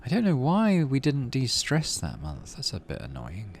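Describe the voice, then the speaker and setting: A man's voice: medium pitch, wildly swooping pitch, moderate pace, vocal fry, a little hiss or hum. A man playing through a video game, reading the story aloud and musing to himself as he decides. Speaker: gaming video host. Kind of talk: game playthrough commentary and read-aloud narration. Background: game music.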